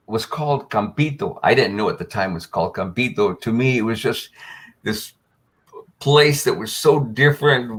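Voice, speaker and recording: An older man, telling a long personal story as a guest on a panel, with many fillers and restarts. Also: mid-range voice. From United States.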